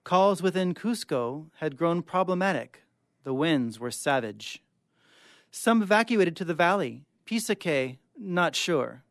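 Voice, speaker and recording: A man with a clear, smooth voice giving a dramatic reading of short stories as a guest reader. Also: a little high, animated, measured pace, no room sound, studio quality. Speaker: guest reader of short fiction on a radio show.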